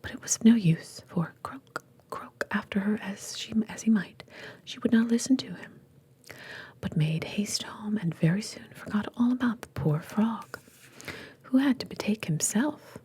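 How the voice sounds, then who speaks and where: A woman reading fairy tales aloud in a breathy, unvoiced ASMR whisper, slow and deliberate. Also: medium pitch, mellow. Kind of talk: whispered ASMR storytelling with asides.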